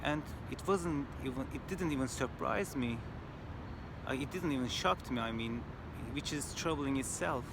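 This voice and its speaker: A man with a Turkish accent speaks English as a second language, delivering a sincere, measured commentary full of small pauses and "uh"s. His voice sits at an ordinary middle pitch. Clear traffic and street noise from an outdoor spot runs behind him. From Turkey. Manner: earnest, measured, with frequent hesitations. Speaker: video commentator. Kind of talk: personal spoken commentary to camera.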